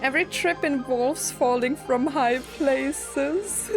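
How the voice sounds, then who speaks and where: A woman's voice: high-pitched, slow. A woman doing casual gameplay commentary, reacting aloud in a giggly, self-deprecating way with exclamations. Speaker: game streamer. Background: game music.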